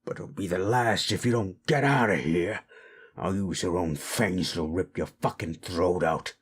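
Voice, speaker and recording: A man voicing a brash werewolf bully boss in an audio roleplay, gravelly, swaggering and blunt, spoiling for a fight. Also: deep voice, a little slow. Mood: fierce.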